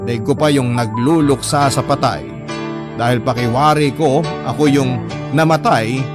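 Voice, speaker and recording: A man's voice: medium pitch, unhurried. A man with a Filipino accent narrating a personal story in a dramatic, scripted radio style. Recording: music bed behind the voice.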